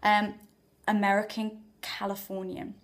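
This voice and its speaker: A woman's voice: mid-range voice, completely flat delivery, measured pace. A woman in her thirties with a Geordie accent, chatting casually and self-mockingly to a phone held at arm's length in a small room. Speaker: vlogger. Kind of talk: casual chat to camera.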